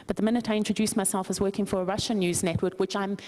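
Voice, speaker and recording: A woman's voice: mid-range voice, fairly even intonation, very fast, a little mellow. A woman with a South African accent making a firm, assertive statement from the floor at a conference. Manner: firm, assertive, prepared argument. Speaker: conference participant, journalist.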